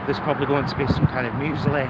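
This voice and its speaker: A man's voice: mid-range voice, level tone, fast. A man thinking aloud in a rambling, casual vlog, recorded on a phone while walking outdoors. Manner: rambling, musing, talking to himself with rhetorical questions. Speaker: vlogger. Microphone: phone on a selfie stick. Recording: loud wind and traffic noise. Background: cars, wind, urban outdoor noise.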